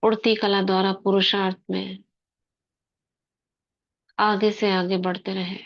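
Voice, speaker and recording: A woman leads a meditation in a soft, unhurried voice, keeping her pitch almost perfectly level from phrase to phrase. She speaks in short phrases broken by long pauses. The recording sounds a little dull and lo-fi. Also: flat delivery, vocal fry. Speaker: meditation guide. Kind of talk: guided meditation commentary.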